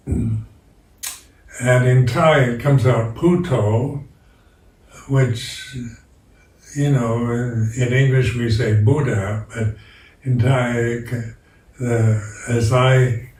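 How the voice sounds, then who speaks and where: An older man speaks as a Buddhist monk at an unhurried, drawn-out pace, circling back over his words as he reflects. His voice is set back from the mic. The room is echoey. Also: mid-range voice, a little mellow, far from the mic. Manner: slow, halting, with many repeated words. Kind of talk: reflective dharma talk.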